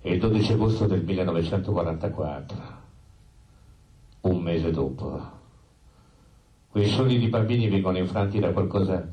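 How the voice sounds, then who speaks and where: Deep-voiced solemn male storyteller, echoey. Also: normal speed, pauses often, lo-fi sound. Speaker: narrator, storyteller. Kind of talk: live narrative theatre monologue. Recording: echoey room, distant microphone.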